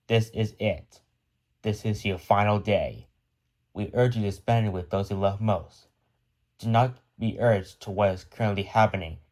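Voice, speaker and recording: A man's voice: on the low side, darkish tone, natural voice. A man playing a grave broadcast announcer, delivering a scripted doomsday message in a solemn, formal manner. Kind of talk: scripted emergency broadcast narration.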